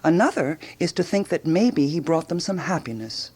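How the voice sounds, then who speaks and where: Radio drama: ruminative female amateur sleuth, theorizing aloud, very animated intonation, deliberate dramatic pauses. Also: low-pitched, husky, full character voice. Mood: provocative musing.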